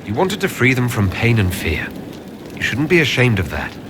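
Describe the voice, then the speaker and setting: An actor playing a composed, supportive male hero in a fantasy video game, with a measured, considerate manner. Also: mid-range voice, huge pitch swings. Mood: reassuring. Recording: game audio with noisy ambience behind.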